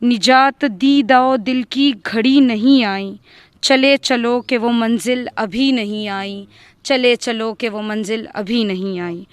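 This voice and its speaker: A woman reciting Urdu poetry in a measured, deliberate manner. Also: fairly high pitch, clear, resonant tone, no room sound. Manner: measured, deliberate, repeating verses.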